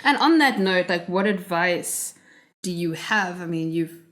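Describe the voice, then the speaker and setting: A woman interviews a guest on a podcast in a casual style. Her voice is low-pitched and soft. She keeps affirming the guest with murmured "mm"s. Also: expressive, unhurried. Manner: soft, frequent "mm" backchannels, gently affirming.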